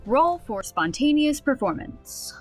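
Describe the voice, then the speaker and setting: Female game master narrator, animated and fairly high, dramatic storytelling. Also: a little slow, faint music behind. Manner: storytelling, expressive.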